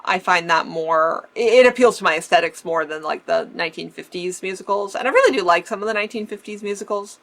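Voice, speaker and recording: Chatty Canadian woman, slightly low. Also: fast talker, hardly any pauses, a bit bright. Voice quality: faint lisp remnant. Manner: chatty, laughs often, restarts words. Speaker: vintage-style vlogger. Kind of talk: casual Q&A chat.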